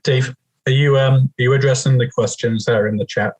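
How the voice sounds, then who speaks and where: Husky, dry-witted man. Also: mid-range voice, fairly even intonation, fairly quick, poor audio. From Wales. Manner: dry, wry remarks, laughs easily. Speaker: co-host.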